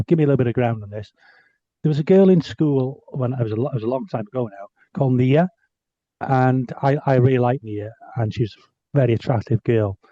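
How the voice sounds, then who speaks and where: A man with a mellow voice tells a wry story during a rugby podcast. His recording sounds dull and dry. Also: medium pitch, no room sound. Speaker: podcast co-host. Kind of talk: casual rugby chat.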